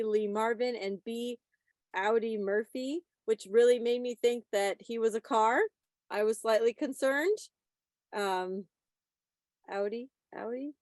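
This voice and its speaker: A woman's voice: fairly high pitch, dry recording. A woman presenting a quiz on a video call, playful and mock-stern like a schoolteacher addressing her class. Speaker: quiz presenter at a club meeting. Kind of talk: playful classroom-teacher patter to a group. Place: online video meeting from a separate room.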